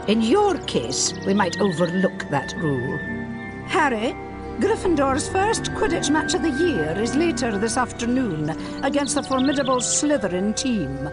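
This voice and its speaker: An older schoolmistress witch in a video game, formal and precise. Her stern, proper delivery swings expressively in pitch over a musical backing. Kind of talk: video game character acting.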